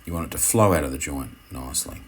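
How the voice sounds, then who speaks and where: A man giving an unscripted how-to commentary as an instructor, speaking in a halting way and often correcting himself. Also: extremely low pitch, animated, a little hiss or hum. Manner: hesitant, halting, self-correcting.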